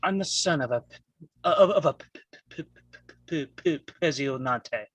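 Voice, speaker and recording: A man playing a guarded young American exile, a mobster's son, with a husky voice and a heavy stammer in a table read. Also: on the high side, expressive, moderate pace, fairly bright tone, no room sound. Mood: hesitant. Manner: heavy stammer, repeating first sounds. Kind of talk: live table read of a film script.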